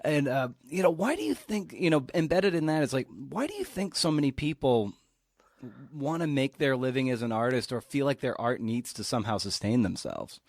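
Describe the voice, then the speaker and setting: Male podcast host, mid-range voice, conversational and halting as he builds long questions, recorded dry. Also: medium pitch, lively intonation, no room sound. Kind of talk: conversational interviewing.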